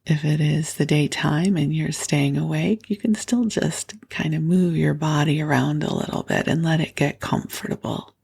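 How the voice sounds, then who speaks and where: A woman hosting a bedtime meditation with a froggy morning voice, speaking softly and gently and often dropping to a whisper. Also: low-pitched, vocal fry, breathy edge. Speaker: podcast host. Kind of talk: soothing bedtime meditation and prayer.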